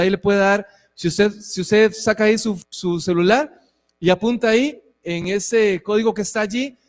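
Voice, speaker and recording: A man in his forties preaching to a church, conversational and warm, with frequent asides to the congregation. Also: sits high. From Costa Rica. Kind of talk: sermon and church address. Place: church hall. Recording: long reverb of a hall.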